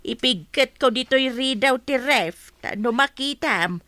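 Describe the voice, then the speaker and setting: A woman's voice: sits high, expressive, unhurried, pushed and bright, no echo, exaggerated voice. A woman playing a fussy, demanding mother of grown daughters in an Ilocano comedy drama, reproving in manner.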